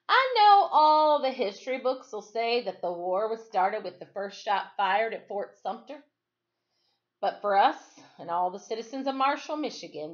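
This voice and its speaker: A woman's voice: medium pitch, highs cut off, lightly acted. A woman voicing a plainspoken, formerly enslaved storybook narrator who recalls the past in a folksy, emphatic, reflective way.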